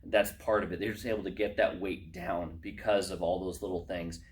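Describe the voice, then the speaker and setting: A man with a fairly low voice presents a product in an off-the-cuff way. He speaks informally, with slangy phrasing, addressing viewers directly. The delivery is that of a racing driver showing off gear rather than reading a script. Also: steady tone, moderate pace, slight background noise. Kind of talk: casual unscripted product walkthrough.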